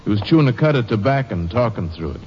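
An actor playing a wary gas station owner under suspicion, in a 1940s radio drama, on a dull old recording with faint hiss and long reverb. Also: male voice, fairly low pitch, very animated, fast, a little mellow. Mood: explaining.

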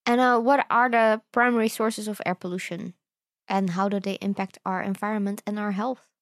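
A woman co-hosting a science podcast, reading the intro and asking the guest clear, welcoming questions. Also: mid-range voice, steady tone, normal speed, dry recording. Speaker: podcast co-host. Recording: dry close recording, faint music.

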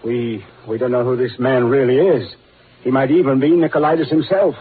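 A man's voice: mid-range voice, steady tone, moderate pace, husky, natural voice. A man playing a suspicious, urbane, protective husband, brusque and sardonic, in 1940s radio style. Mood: wary, sardonic. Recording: noisy, muffled old radio recording.

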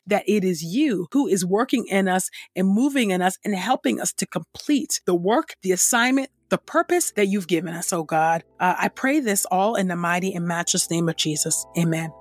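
A woman reading scripture and praying as a devotional host, with measured, reverent phrasing. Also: medium pitch, no echo, studio quality. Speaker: devotional podcast host and Bible reader.

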